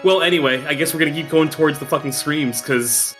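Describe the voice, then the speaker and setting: A man's voice: on the high side, a little fast, a bit bright, faint music behind. A man talking casually as a player in a tabletop game.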